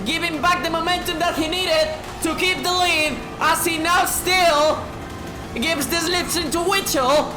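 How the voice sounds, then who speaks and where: A high-voiced woman calls a sim race with a strained, sore throat, swearing freely and shouting at the action. Her voice rings in an echoey room. Music plays under her. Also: steady tone, unhurried. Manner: swears a lot, shouts at big moments, drops to a low tone to save her voice. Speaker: race commentator. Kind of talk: excited sim racing commentary. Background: background music.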